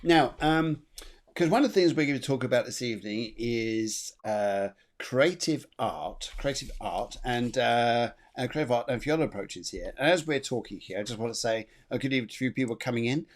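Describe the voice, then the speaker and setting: A man's voice: medium pitch, a little fast. Chatty rambling male show host. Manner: chatty, rambling, laughs often. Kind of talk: live online chat show.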